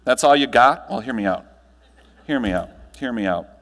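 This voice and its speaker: A man's voice: medium pitch, expressive, fairly bright tone. American man speaking in a reverberant hall. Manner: projected and emphatic, with deliberate pauses and rhetorical questions. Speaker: keynote speaker and trainer. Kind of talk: motivational conference talk. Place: large hotel ballroom stage. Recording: long hall reverb from ballroom PA.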